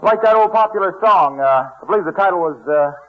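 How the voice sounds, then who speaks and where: A breezy, genial salesman friend in a vintage radio comedy. His polished voice is fairly high and animated. The sound is dulled like an old broadcast. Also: quick pace, lo-fi sound. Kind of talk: 1940s radio comedy acting.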